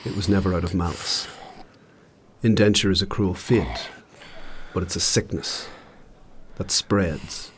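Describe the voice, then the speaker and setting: An actor playing an ancient, solemn warrior spirit in an audio drama, speaking in a measured, grave and formal way. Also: male voice, slight background noise. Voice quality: low, husky.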